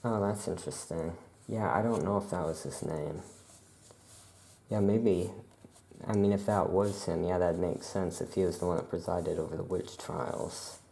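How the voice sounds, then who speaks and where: A soft-spoken ASMR performer role-playing a laid-back witch shopkeeper, musing casually and hesitantly to a customer. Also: deep voice, normal speed, vocal fry, low-quality recording.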